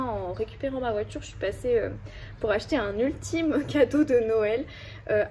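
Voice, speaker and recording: A woman with a stuffy, hoarse voice from a cold, chatting casually in a book vlog and rambling with lots of hesitations. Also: fairly high pitch, darkish tone, a little hiss or hum. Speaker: book vlogger.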